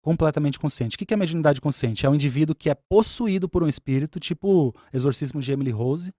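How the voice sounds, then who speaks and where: Higher-voiced man explaining patiently. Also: expressive, fast, warm tone, no echo, clean studio sound. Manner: explanatory, patient, repeats points. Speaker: umbanda spiritual leader and guest. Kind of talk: podcast interview explanation.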